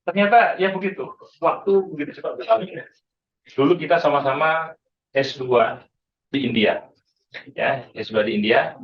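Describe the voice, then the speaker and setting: A man's voice: a little high, normal speed, lo-fi sound. A man in his late thirties with an Indonesian accent gives an informal, conversational lecture to students, dotting his speech with small checking tags. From Bengkulu, Indonesia. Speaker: university lecturer. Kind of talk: informal lecture to students, mixing in English phrases.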